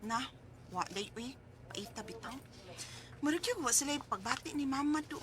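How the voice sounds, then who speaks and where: A woman's voice: high-pitched, animated, normal speed. An actress playing a devoted single daughter who cares for her sick mother, in a radio drama. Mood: caring, aggrieved. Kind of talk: radio drama acting with letter narration.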